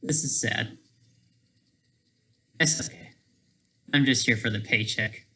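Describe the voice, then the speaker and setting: A man talking casually on a livestream, rambling and halting, often trailing off mid-thought, with a sardonic, self-deprecating streak. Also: mid-range voice, sharp tone.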